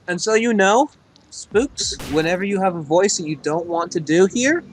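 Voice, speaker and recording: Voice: medium pitch, animated, normal speed, a little hiss or hum, poor audio. A voice actor co-hosting a gaming video in casual chat, encouraging and guiding a newcomer. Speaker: co-host and voice actor.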